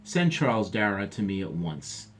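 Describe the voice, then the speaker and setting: A man voicing a calm, authoritative army general in a storybook, measured and commanding. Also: lowish voice, a little hiss or hum. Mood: questioning.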